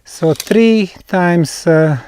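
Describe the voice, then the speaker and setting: A man with a Bosnian accent explains a site on location as a researcher, in deliberate, emphatic short phrases with pauses. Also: high-pitched, a little mellow, smooth. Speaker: researcher presenting a field investigation.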